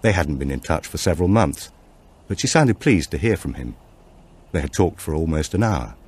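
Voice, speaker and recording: A man's voice: extremely low pitch, big pitch swings, normal speed, darkish tone, breathy edge. A man reading a supernatural thriller aloud as a scripted audiobook narration.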